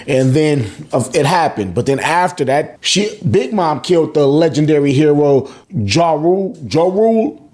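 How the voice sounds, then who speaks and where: A man's voice: a little high, a little mellow. A man hosting a casual recap, talking in profane slang, acting out reactions and repeating words in bursts as he goes. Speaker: host of a manga review channel. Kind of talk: casual, animated chapter recap commentary.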